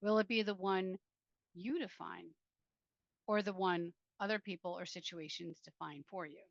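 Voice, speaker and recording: A woman author presenting and reading from her self-help book in an earnest, deliberate way, speaking directly to the listener. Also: fairly low pitch, fairly even intonation, gravelly, no room sound, lo-fi sound.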